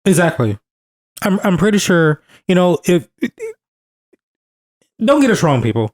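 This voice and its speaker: A man's voice: sits high, very animated, a little slow. A man in his thirties co-hosting a podcast, talking earnestly and repeating phrases for emphasis as he restarts his sentences. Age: 30s. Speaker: podcast co-host. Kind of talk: casual podcast conversation.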